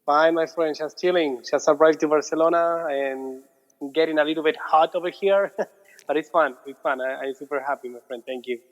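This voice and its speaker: A man in his thirties from Argentina with an Argentine Spanish accent, chatting casually as an interview guest, relaxed and repeating his words. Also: fairly high pitch, normal speed. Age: 30s. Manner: relaxed, repeats words, non-native phrasing. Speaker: guest, muralist. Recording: dull audio with long reverb.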